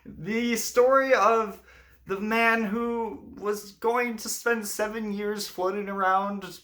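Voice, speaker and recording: A man's voice: sits high, fairly even intonation, takes it slowly, bright, forceful tone. A man speaking as a storyteller and host, presenting folk tales in a narrated, explanatory style. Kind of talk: scripted fairy tale narration, then explanatory commentary.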